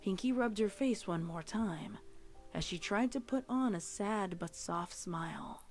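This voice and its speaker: A woman's voice: mid-range voice, a little slow. A woman reading scripted fan fiction narration, with music playing behind her.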